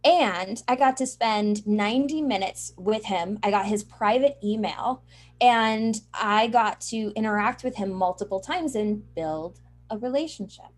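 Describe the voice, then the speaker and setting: A woman's voice: medium pitch, a little slow. A woman interview guest explaining things in an earnest, assured conversational way. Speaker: interview guest, publicity trainer and journalist.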